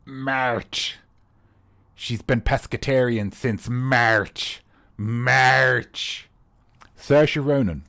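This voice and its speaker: A man's voice: mid-range voice, very slow, creaky, sharp tone, dry recording. A man hosting a live comedy quiz, rambling and playful with teasing asides to the players. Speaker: quiz host.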